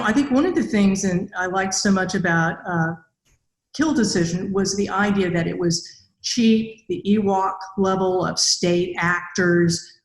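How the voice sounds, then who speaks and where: An older woman hosting a conversational podcast interview as a robotics researcher. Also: on the low side. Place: indoor room with bare walls. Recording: echoey room on video call.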